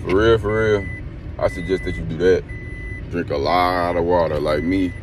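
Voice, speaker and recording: A man's voice: sits low, steady tone, normal speed. A young man talking casually and rambling into a phone held at arm's length in a car at night. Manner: laid-back, rambling, slangy. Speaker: vlogger. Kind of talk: casual motivational vlog. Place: inside a parked car at night. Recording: noisy phone recording in a car.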